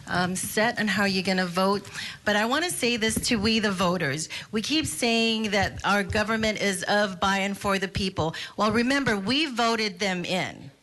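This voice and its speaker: A woman's voice: mid-range voice, a bit bright. A woman giving public testimony at a hearing, earnest and imploring, with fillers.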